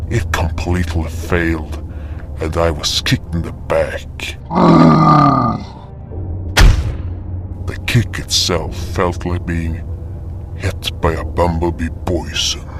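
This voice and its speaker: A man playing a somber fantasy guardian, narrating his story gravely and deliberately in an audio drama. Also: sits low. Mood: grim. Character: somber male fantasy guardian recounting a doomed hunt. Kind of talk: scripted first-person story narration. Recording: noisy background ambience.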